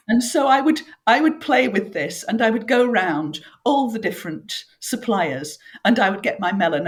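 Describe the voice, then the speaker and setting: Reflective storytelling woman author. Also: on the low side. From northern England. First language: French. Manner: reflective, vivid storytelling with fillers. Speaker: author guest. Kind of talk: conversational interview.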